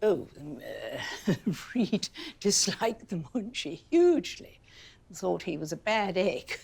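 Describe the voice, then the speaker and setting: A woman's voice: medium pitch, big pitch swings, slow, vocal fry, a little mellow, husky. A woman, conversationally explaining history as an interviewed expert.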